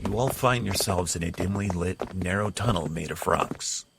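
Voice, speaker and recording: A man narrating an audio drama in the second person, with steady, descriptive storytelling. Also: sits low, normal speed, creaky, very noisy.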